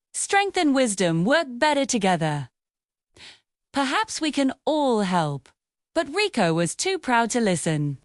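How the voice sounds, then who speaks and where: A woman reading a scripted children's story as an expressive, dramatic storyteller. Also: on the high side, dry recording, clean studio sound.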